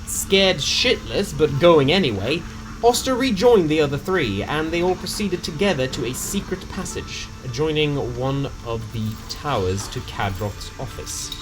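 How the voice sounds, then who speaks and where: A man's voice: fairly high pitch, lively intonation, unhurried, poor audio. A man narrating a tabletop game story recap in a casual, dramatic storytelling way with some slang. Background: music.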